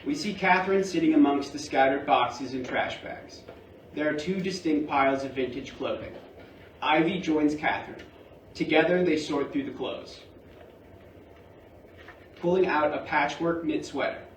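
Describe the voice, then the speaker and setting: A man with a slightly high voice reads stage directions in a measured, deliberate way. He sounds set back from the mic in an echoey room with faint noise. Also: far from the mic. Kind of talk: scripted narration at a staged play reading.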